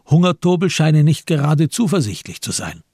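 A man reading scripted literary narration as the narrator of a radio play, with a husky voice. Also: a little high, very animated, moderate pace, a little mellow, dry recording, studio quality.

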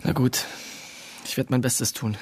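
A man's voice: mid-range voice, fairly even intonation, a little mellow, breathy edge. Hesitant, polite young man. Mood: obliging. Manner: halting, understated. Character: polite, hesitant young male writer, quietly wry. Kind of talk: radio drama acting.

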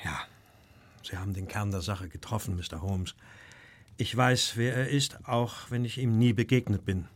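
A man's voice: on the low side, normal speed, lightly acted. A man acting an anxious, genteel Victorian art dealer in a radio crime drama, formally recounting his troubles in detail. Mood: confessional. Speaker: actor in a detective radio play.

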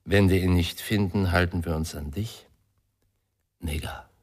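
An actor playing a menacing, sneering criminal in a radio crime drama, threatening and contemptuous. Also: male voice, deep voice, steady tone, unhurried, very noisy.

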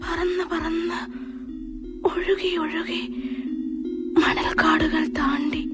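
A distraught young wife in a radio play, haunted and halting. Her voice sits very high and she lets the words out slowly, with anguish in the phrasing. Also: little pitch movement, loud music behind the voice. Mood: dazed, fearful. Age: young adult. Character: frightened, distraught young married daughter tormented by visions. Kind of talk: radio drama acting.

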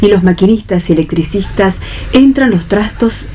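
Narrator for radio theatre: Argentine woman, mellow, soft-edged, scripted reading. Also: medium pitch, normal speed, a little hiss or hum. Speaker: stage-direction narrator.

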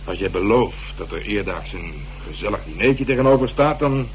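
An actor in a radio drama playing a grudging, good-humoured police inspector with hesitant, protesting delivery and Netherlands Dutch speech. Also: male voice, lowish voice, expressive, moderate pace, natural voice. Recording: noisy, humming radio broadcast recording.

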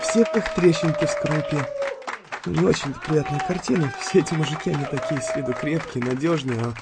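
An actor playing a shell-shocked, brooding young British sailor in a wartime audio drama, speaking in halting, emotional monologue. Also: male voice, on the high side, vocal fry, over a noisy crowd, very noisy. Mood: anguished. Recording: music under the voice.

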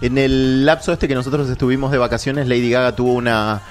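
Easygoing radio host man, halting delivery. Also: medium pitch, fairly even intonation, soft background music. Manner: hesitant, many fillers, laughs easily. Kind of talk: casual radio music chat.

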